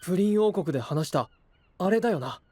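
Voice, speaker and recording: Earnest, expressive young hero. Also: male voice, sits high, brisk. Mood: pondering. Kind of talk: anime voice drama acting.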